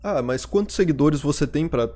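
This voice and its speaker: A man's voice: fairly high pitch, animated, moderate pace, dark tone. A man narrating a commentary video in a scornful, sarcastic tone.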